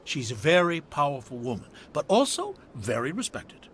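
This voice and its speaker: Lively, higher-pitched helpful attendant. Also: male voice, normal speed, slight background noise. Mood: chatty, helpful. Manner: obliging, gossipy. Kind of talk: video game dialogue.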